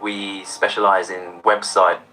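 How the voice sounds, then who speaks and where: Deep-voiced man, distant, music behind. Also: little pitch movement, unhurried, pushed and bright, a little hiss or hum. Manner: hesitant, with fillers. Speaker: marketing agency representative. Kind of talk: business conversation.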